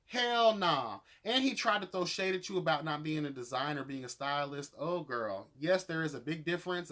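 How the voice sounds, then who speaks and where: A man's voice: fairly high pitch, bright, forceful tone. A man giving casual, opinionated episode commentary as a vlogger, sassy and emphatic, with slangy wording and free swearing. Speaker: vlogger reviewing reality show episodes.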